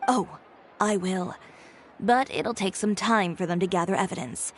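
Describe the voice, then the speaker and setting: An actress playing a composed, proud dressmaker who talks calmly with dry, cutting sarcasm. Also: female voice, medium pitch, unhurried, slight background noise, natural voice.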